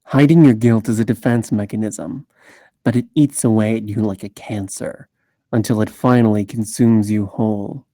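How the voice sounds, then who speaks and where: Guy doing a grave slow noir narrator, brooding and guilty, very dry sound. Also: on the low side, steady tone, mellow, no room sound, lightly acted.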